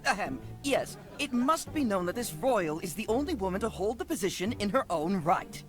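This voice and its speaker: An actress playing an officious but deferential royal scribe puppet in a video game, with a prim, formal delivery. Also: female voice, medium pitch, sing-song, normal speed, over music, natural voice. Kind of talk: storybook puppet-show acting in a video game.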